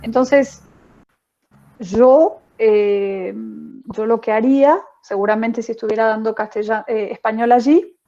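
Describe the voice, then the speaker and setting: A woman teacher answering a question on an online panel, thoughtful and pausing often with fillers. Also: fairly high pitch, steady tone, mellow, muffled recording. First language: not French. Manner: thoughtful, many hesitations and fillers.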